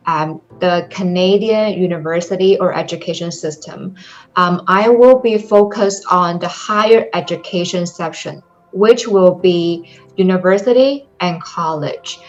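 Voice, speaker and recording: A woman's voice: on the low side, lo-fi sound. A young woman from China with a Chinese accent, giving an online presentation in careful English with frequent ums. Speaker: presenter for a study-abroad program.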